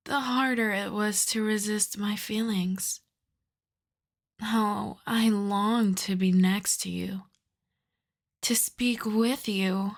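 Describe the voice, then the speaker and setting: A woman voicing a seductive, lovestruck vampire in a soft, breathy, intimate ASMR whisper, coaxing and doting. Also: medium pitch.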